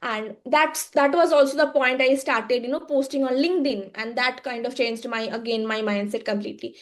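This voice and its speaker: A woman with a notably high voice speaks as a podcast guest, thinking aloud as she goes. Her talk is reflective and full of small fillers and halting restarts. The tone is earnest and unhurried. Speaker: guest, social media agency founder. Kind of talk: podcast interview storytelling.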